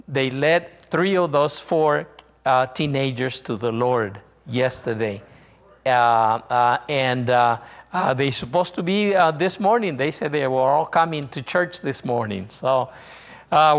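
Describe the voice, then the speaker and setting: A man with a Mexican Spanish accent delivers a sermon as a visiting missionary. He speaks slowly and somewhat high for a man, pausing and restarting often with many fillers. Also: a bit bright, long reverb tail. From northern Mexico.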